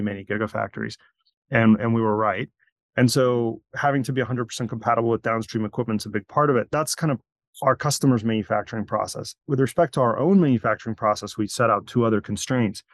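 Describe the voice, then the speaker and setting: A middle-aged man, born in Crimea and raised in Virginia, giving measured, explanatory interview answers with frequent restarts. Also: mid-range voice, no room sound, clean studio sound. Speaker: company founder.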